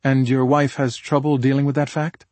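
A man's voice: medium pitch, flat delivery, normal speed, a little mellow, no echo, voice pushed up, lightly acted. A man voicing a tough female homicide detective with a direct, challenging manner in an audiobook.

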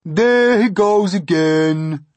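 A man's voice: high voice, drawn-out words, a little mellow, dry recording, pitched above the performer's own voice. A man voicing a prim, self-important male earthworm in a storybook, complaining with emphatic indignation. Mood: exasperated.

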